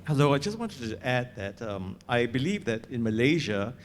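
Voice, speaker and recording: Man in the audience, mid-pitched, courteous addition. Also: sing-song, moderate pace. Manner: polite, informative addition. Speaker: audience member.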